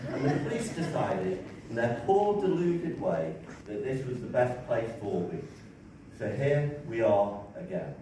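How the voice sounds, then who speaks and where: A man's voice: medium pitch, expressive, unhurried, lightly acted. A man reading the part of a deluded, cheerful psychiatric patient who thinks he speaks for God in a stage play, earnest, chatty and pompous, in a very echoey, distant, noisy recording. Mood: earnest, aggrieved. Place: large echoey room, mic at a distance.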